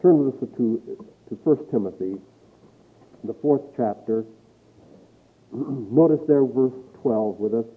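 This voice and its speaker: A husky-voiced man preaches with swelling emphasis, reading scripture between his points. The sound is thin and tinny, like an old recording over a phone line. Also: mid-range voice, slow pace, pauses often. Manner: emphatic preaching with rising and falling intensity, reading scripture aloud. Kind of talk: sermon.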